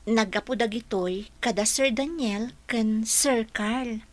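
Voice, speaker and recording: Actress as modest young female employee, high voice, respectful, earnest, radio drama delivery. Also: takes it slowly, dry recording. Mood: puzzled. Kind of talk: Ilocano radio drama acting.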